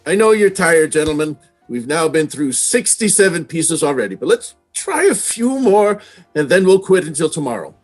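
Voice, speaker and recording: A man's voice: fairly high pitch, sing-song, soft background music. A man playing a weary, persistent orchestra conductor giving clipped instructions in old time radio drama style. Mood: weary, commanding. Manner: brisk, commanding, clipped.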